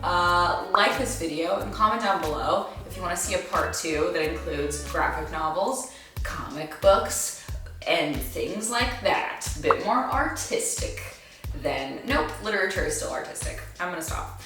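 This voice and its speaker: Young female vlogger, emphatic and chatty, slightly low voice, recorded well off the mic with faint music behind. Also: measured pace. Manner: animated, gushing, emphatic. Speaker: book review vlogger. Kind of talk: casual chat to viewers. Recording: echoey room, distant mic, faint music.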